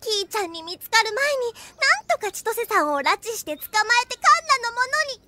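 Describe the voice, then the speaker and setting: A woman voicing a flustered, scheming little anime girl who talks in prim, ladylike phrases and gets excitable. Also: extremely high pitch, a little fast, exaggerated voice. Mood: scheming, excited. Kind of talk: anime comedy audio drama acting.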